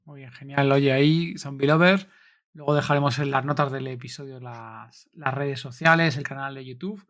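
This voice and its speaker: A man co-hosting a casual podcast interview, chatty and enthusiastic, quick with praise. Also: medium pitch, fairly even intonation, fairly bright tone. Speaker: podcast co-host.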